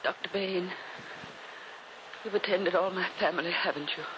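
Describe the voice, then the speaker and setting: Through clear background noise on an old radio recording comes an actress as a frail noblewoman near death. She is proud and secretive, with a weary, formal, dramatic delivery. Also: on the low side, steady tone, moderate pace, muffled recording, close to an everyday voice. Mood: weak, imploring. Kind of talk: 1940s radio acting.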